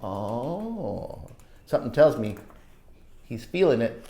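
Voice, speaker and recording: A woman reading a children's picture book aloud as a storytime teacher, expressive and dramatic, with asides to young listeners. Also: rumbling low, slow pace, creaky, roomy sound.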